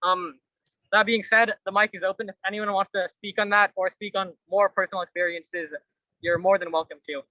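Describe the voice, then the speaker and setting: A man hosts an open mic at a vigil and speaks in an impassioned, articulate way. His voice sits high and sounds bright, and the recording is dry. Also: little pitch movement, a little fast, no room sound, highs cut off. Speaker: vigil emcee.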